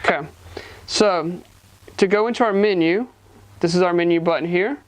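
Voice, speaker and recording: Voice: medium pitch, normal speed, dry recording, poor audio. A person giving a casual, hands-on product demonstration, explaining informally step by step. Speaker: gadget reviewer.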